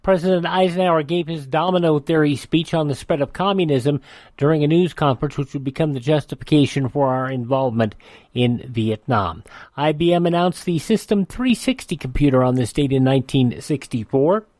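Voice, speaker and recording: Man, older, American accent, slightly high voice, radio history narration, chatty asides, dry studio sound. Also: a little fast, a little mellow, no room sound. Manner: chatty personal asides amid read items. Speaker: radio host.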